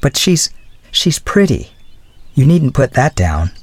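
A man's voice: medium pitch, very animated, takes it slowly, no room sound, pitched above the performer's own voice. A man voicing an earnest, flustered young woman in a storybook, speaking in a hesitant, gushing way. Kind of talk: storybook dialogue.

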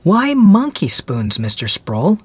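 A woman's voice: fairly low pitch, slow pace, husky, no echo, exaggerated voice. A woman playing an eager, vivacious young engaged woman in story dialogue. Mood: curious. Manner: excitable, eager, chattering.

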